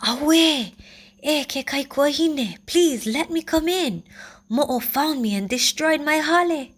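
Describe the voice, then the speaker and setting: A woman's voice: animated, slow. A woman doing a frightened little boy pig crying for help. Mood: pleading. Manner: high, pleading cries. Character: frightened young male pig begging for help.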